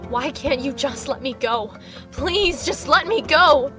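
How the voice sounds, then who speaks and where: A woman's voice: high voice, lively intonation, slow. A woman in a radio drama playing a captive detective and mother, desperate, defiant and emotional. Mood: pleading. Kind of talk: amateur radio drama acting. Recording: loud music behind the voice.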